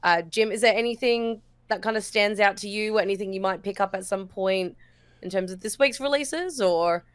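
A woman's voice: mid-range voice, moderate pace, smooth, dry recording. A woman co-hosting a weekly gaming show, running through game releases in an easygoing chat. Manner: easygoing, laughs often, fillers. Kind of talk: casual chat and news rundown.

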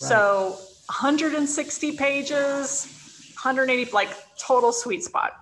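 A woman's voice: sits high, slow, fairly bright tone, a little hiss or hum, low-quality recording. A woman giving advice in a casual conversation as an expert guest, emphatic and often answering her own questions. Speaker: guest, sales author and publisher giving advice.

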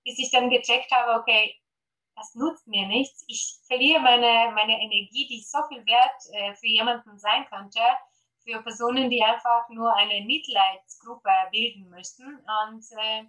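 A woman's voice: a little high, steady tone, measured pace, pushed and bright, low-quality recording. A woman from Poland with a Polish accent, speaking German as a guest on an interview podcast about gut health, eager and full of fillers. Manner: eager, many fillers, promotional.

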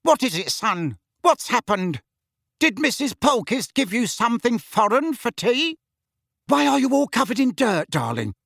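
A man voicing a blustering, angry middle-aged father in a storybook reading, loud and raised high. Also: sing-song, unhurried, creaky, no echo, studio quality, full character voice. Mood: worried bluster.

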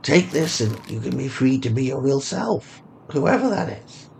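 Man voicing a high-pitched urgent, mysterious vagrant. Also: very animated, measured pace, vocal fry, darkish tone, a little hiss or hum. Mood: urgent, cryptic. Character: mysterious grimy homeless man, urgent, coaxing, offering gifts.

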